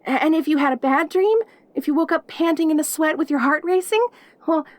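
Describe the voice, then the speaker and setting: Audio roleplay demon girl, female performer: high-pitched, perky, lonely, obsessively devoted, stammering delivery, dry intimate sound. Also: fairly quick, dry recording, close to an everyday voice. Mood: eager, doting. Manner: eager, stammering, giggly, devoted. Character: perky, lonely, clingy yandere demon girl, centuries old.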